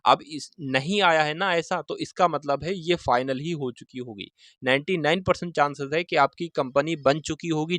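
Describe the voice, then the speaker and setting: A man talks straight to his viewers in a slightly high voice, recorded dry and close. He explains updates informally, circling back over points and tagging phrases with quick check-ins. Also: dry recording. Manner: repetitive, with frequent check-in tags. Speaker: exam-news video host.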